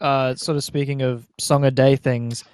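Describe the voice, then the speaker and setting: A man's voice: mid-range voice, level tone, normal speed, a little mellow, clean tone, no room sound, studio quality. A man with an Australian accent hosting a podcast in a laid-back, slangy, chatty interview style. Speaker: podcast host. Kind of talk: casual interview banter.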